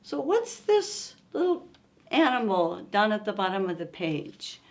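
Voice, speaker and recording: A woman hosting a storytime, reading a picture book aloud to young children in an expressive, coaxing way and asking them questions. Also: mid-range voice, measured pace.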